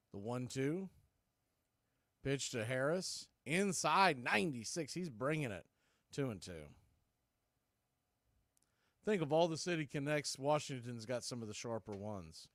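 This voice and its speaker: A man's voice: fairly high pitch, fairly bright tone, dry recording, clean studio sound. A man doing live radio baseball commentary in clipped, conversational phrases, hosting the play-by-play.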